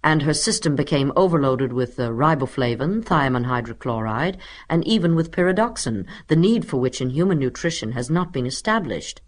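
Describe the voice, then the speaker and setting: A woman's voice: extremely low pitch, expressive, normal speed, hardly any pauses. A woman voicing a small, dapper male substitute teacher in a children's storybook, pompous, professorial and commanding. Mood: pompous lecturing.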